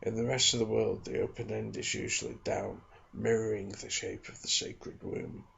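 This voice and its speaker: A man delivers soft-spoken ASMR reading and informal chat. His voice is fairly low and very creaky, with a husky, breath-worn texture. The recording sounds slightly dull. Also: sing-song, moderate pace. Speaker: ASMR video creator and book reader.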